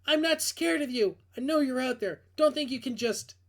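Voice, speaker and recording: Terrified young woman, played by a male reader, high-pitched, breathless, desperate; visual novel horror. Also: lively intonation, normal speed, a bit bright, full character voice. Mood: defiant, scared. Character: frightened, wounded young woman, panicked and desperate. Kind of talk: visual novel character reading.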